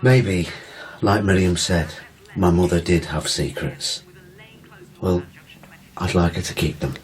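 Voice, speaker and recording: A man's voice: deep voice, takes it slowly, darkish tone. An actor playing a guarded, brooding man in a naturalistic radio drama. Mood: subdued, guarded. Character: guarded man brooding over his mother's secrets.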